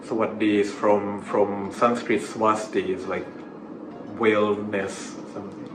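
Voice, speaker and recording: A man from Thailand, a native Thai speaker with a Thai accent, chatting casually as an interview guest in a halting way that repeats words. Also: lowish voice, level tone. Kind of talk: casual chat. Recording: echoey room with clear background noise.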